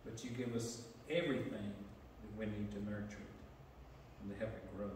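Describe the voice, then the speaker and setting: A middle-aged man leading prayer as pastor, plain-spoken with some halting fillers, heard from a pulpit mic in a large church sanctuary. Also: on the low side, steady tone, a little mellow, a little hiss or hum. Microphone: pulpit mic, camera far back. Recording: echoey sanctuary from far off.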